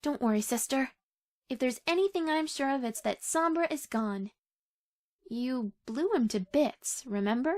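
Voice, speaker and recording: Girl doing a high sweet young pony, curious, kinda comforting, cartoon dub. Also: animated, unhurried. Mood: reassuring. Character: gentle, reassuring young female pony, curious and sympathetic. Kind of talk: cartoon dialogue in a comic audio dub.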